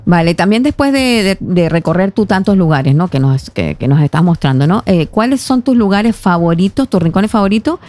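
A woman hosting an interview, asking friendly, drawn-out questions. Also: lowish voice, animated, a little mellow. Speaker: interviewer, host. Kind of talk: casual interview.

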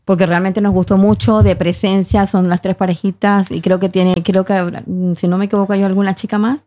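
A woman's voice: medium pitch, level tone, a little fast, dark tone. A woman chatting casually and conversationally in a radio studio. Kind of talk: casual chat.

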